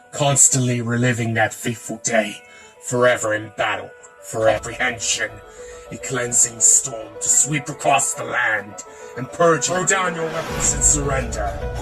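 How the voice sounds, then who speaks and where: A man voicing a solemn, commanding fantasy warrior leader with a husky, breathy voice and grave, deliberate delivery. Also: medium pitch, lightly acted. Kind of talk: dramatic character voice acting reel. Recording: slightly distant, music behind.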